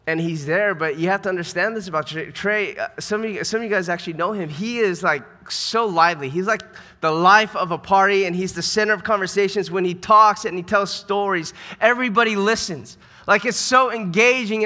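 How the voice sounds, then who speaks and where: Male American preacher, slightly high bright voice, earnest and conversational sermon delivery. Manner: earnest, conversational, with emphatic repetition. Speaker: pastor. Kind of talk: sermon to a church congregation. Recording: reverberant hall sound.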